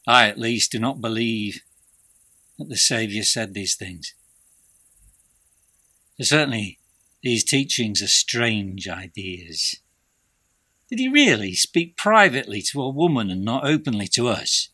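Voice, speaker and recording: A man's voice: medium pitch, big pitch swings, sharp tone, dry recording, heavily acted. Troubled doubting disciple acted by a man, dramatically swooping delivery. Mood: indignant, scornful. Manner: intense, deliberate, broken into short phrases. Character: troubled, doubting male disciple of an ancient saviour. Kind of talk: dramatic monologue of an ancient religious text.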